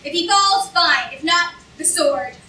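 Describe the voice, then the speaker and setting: Man playing a shrill, arrogant criminal mastermind. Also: measured pace, sharp tone, full character voice. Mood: commanding. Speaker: student actor in a staged reading. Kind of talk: theatrical staged reading. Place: lecture room stage before an audience. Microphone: far camera in the audience. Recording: echoey hall, distant camera, noisy.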